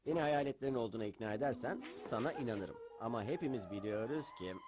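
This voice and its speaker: A man voicing a fussy, excitable, skeptical pet owner in a dubbed cartoon. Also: mid-range voice, quick pace, lightly acted. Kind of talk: cartoon dub acting. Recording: music behind the voice.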